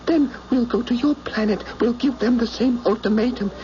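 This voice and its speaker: A male radio actor playing a wounded, power-hungry alien pilot in a halting, intense, theatrical way. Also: very high-pitched. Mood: fevered, commanding. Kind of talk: radio drama acting. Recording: dull, noisy old radio broadcast recording.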